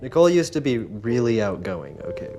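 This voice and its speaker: A man with a slightly low voice talks in a relaxed, easygoing way. He fills his talk with "you know"s. Faint TV score music plays in the background. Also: a little fast. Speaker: roommate on a reality show. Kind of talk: casual chat on a TV show.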